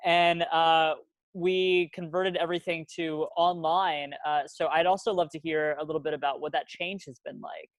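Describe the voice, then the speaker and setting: A young man with a slightly higher voice hosts an interview as a student journal co-editor. He talks hesitantly and pauses often, and the sound is dry and close. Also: fairly even intonation, dry recording, rough recording. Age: young adult. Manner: hesitant, frequent uh fillers. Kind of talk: hosting an interview.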